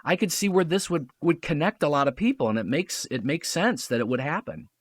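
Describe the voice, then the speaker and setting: A man guesting on a podcast, explaining radio history in a knowledgeable, relaxed chat. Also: fairly high pitch, a little fast, dry recording, clean studio sound. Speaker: guest radio drama producer. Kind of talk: casual chat.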